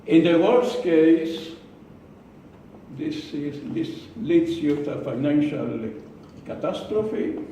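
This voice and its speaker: Slow, deliberate man in an echoey room. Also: a little high, expressive, mellow, distant mic, slight background noise. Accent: Israeli. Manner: slow, deliberate, with pauses and repeated words. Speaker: club speaker. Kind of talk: prepared speech. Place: meeting room.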